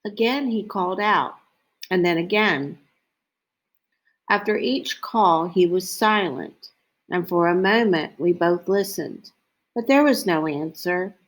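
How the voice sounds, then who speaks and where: A woman reading a children's picture book aloud as a storyteller, in a deliberate way. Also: fairly low pitch.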